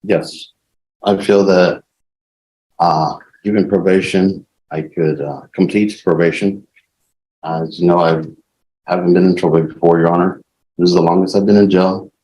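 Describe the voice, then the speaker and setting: A male defendant addressing the judge in a subdued, pleading way. His voice is very low and flat, with little rise and fall. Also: moderate pace, rough recording. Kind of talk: courtroom statement. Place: courtroom.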